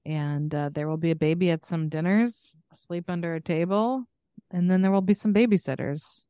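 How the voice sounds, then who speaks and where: Low-voiced woman in rambling, casual chat. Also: moderate pace, smooth, no echo, clean studio sound. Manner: informal, rambling, thinking out loud. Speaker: podcast co-host. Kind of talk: casual unscripted chat with a friend.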